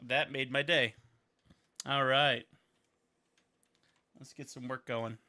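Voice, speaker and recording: A man's voice: mid-range voice, steady tone, takes it slowly, bright, forceful tone. A man in his thirties from Montana, chatting casually and upbeat while he draws on a livestream, rambling and thinking aloud. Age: 30s. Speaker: caricature artist and videographer.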